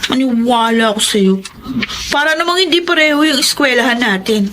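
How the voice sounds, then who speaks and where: An actress playing a bossy young student sister in a radio drama, briskly questioning and nagging. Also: female voice, sits high, animated, a bit bright, a little hiss or hum, poor audio. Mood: suspicious, scolding. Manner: brisk, interrogating. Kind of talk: Tagalog radio drama acting.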